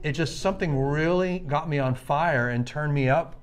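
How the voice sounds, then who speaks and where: A man preaching with enthusiasm, reading a Bible passage slowly and emphatically. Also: fairly high pitch. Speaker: minister.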